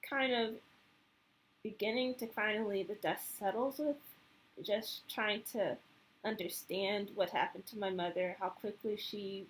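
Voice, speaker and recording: A woman thinking out loud in a personal monologue. Her voice sits at an ordinary middle pitch, and she talks haltingly, with frequent pauses and fillers as she searches for words. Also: poor audio. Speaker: vlogger.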